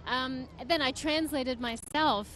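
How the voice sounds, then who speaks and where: A woman's voice: high voice, slow, a bit bright, low-quality recording. A woman from the United States, a guest author, talking with enthusiasm and many fillers in an interview at a book fair. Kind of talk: interview answers.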